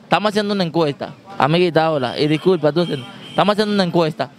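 A man's voice: fairly high pitch, racing. A young man hosting a joking street interview on a busy city street, holding a handheld mic and teasing people for answers. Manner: brash, teasing, pushes for answers. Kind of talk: joking street vox pop.

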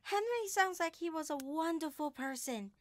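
A woman voicing a gentle, earnest young woman in a full-cast audiobook, speaking softly. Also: extremely high pitch, expressive, normal speed.

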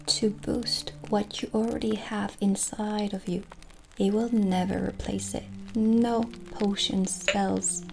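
A woman in her thirties playing a kindly witch shopkeeper in a gentle, soothing, soft-spoken ASMR roleplay. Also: medium pitch, vocal fry. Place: small candlelit indoor set. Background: soft background music.

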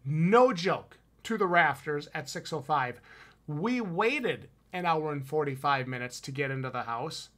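Upbeat wisconsin guy on a headset, kinda high bright voice, hyped casual review chat. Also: animated, measured pace. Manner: enthusiastic, emphatic, slangy. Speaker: podcast co-host reviewing haunted houses. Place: home room with shelves, indoors. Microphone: headset with boom mic on video call.

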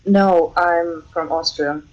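A man from Croatia chatting casually and slowly with friends in voice chat. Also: on the high side, fairly even intonation. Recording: muffled voice chat with light noise.

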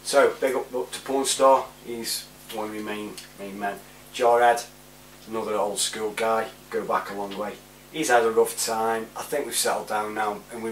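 A British man in his forties chatting casually to camera in a laid-back, rambling way, giving shout-outs. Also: lowish voice. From United Kingdom. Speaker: vlogger. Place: small room indoors at home. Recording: roomy, distant mic with faint hum.

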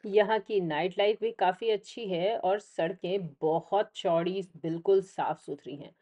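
A woman's voice: medium pitch, unhurried, warm tone. A woman with an Indian accent reads scripted travel vlog narration, explaining things directly to the viewer.

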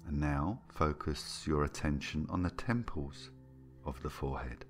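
A man narrating a guided meditation in a soothing, gentle and unhurried manner, leaving long pauses between phrases. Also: very deep voice, lively intonation. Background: background music.

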